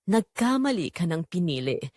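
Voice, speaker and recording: A woman's voice: lowish voice, sing-song, normal speed, darkish tone, dry recording, clean studio sound. A woman voicing a rejected young male suitor in a romance audio drama, wounded, earnest and questioning. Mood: hurt, pleading.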